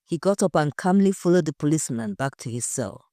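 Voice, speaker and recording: A woman's voice: deep voice, lively intonation, a little fast, dark tone, no echo, clean studio sound. A woman reading a scripted story as its narrator.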